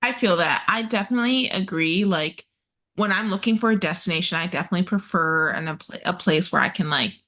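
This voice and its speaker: A woman's voice: on the low side, brisk, sharp tone. A woman hosting a podcast, asking questions in a casual, blunt and profane way. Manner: blunt and profane, cuts in with agreement. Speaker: podcast host. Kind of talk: casual interview chat.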